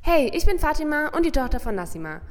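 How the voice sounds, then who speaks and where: A young woman, a student, talks briskly and in a relaxed way, with a middle-pitched voice. Long hall reverb trails behind her words. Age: young adult. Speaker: interviewee, university student.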